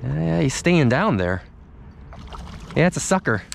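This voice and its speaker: A man's voice: on the high side, very animated, measured pace, gravelly, slight background noise. A young man chatting casually to the camera as he fishes from a snowy riverbank, thinking aloud about each catch. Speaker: fishing vlogger. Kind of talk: casual running commentary while fishing. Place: outdoors on a snowy riverbank in winter. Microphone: camera worn on the body or handheld at close range.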